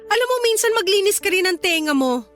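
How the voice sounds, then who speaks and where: An exasperated young mother in a radio drama. Her voice is extremely high and piercingly bright, scolding and sarcastic, with music playing underneath. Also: expressive, fast talker. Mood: accusing, sarcastic. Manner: nagging, sarcastic. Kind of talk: Tagalog radio drama acting. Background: background music.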